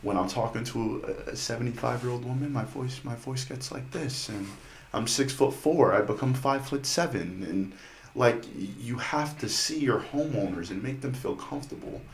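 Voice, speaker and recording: A man with a deep voice, a podcast guest talking sales in an intense, driving way. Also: moderate pace, echoey room, slight background noise. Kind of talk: casual sales talk.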